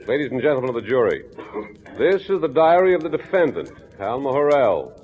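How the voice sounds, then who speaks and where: An actor playing a formal, theatrical district attorney in a 1940s radio drama, on a narrow, tinny old recording. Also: male voice, medium pitch, very animated, a little fast, soft background music, lightly acted. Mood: formal, pointed.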